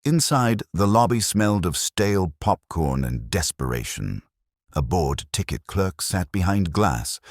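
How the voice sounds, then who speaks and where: A man with a very low, animated voice narrates a hardboiled detective story. He reads a scripted first-person account with wry delivery, as an audiobook narrator. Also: no room sound, clean studio sound.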